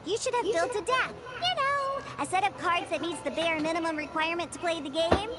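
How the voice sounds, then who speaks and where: A woman's voice: very high voice, fairly quick. A woman voicing a grumpy young catgirl bartender in an anime game, huffy and exasperated, with music behind her. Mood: impatient, explaining.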